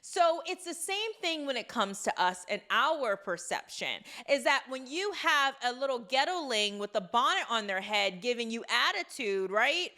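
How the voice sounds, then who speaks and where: A woman's voice: a little high, big pitch swings, normal speed, hardly any pauses, bright, forceful tone. A woman giving an emphatic, lecturing opinion monologue that speaks straight to the listener. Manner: emphatic, lecturing, direct address. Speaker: social commentator in a played clip.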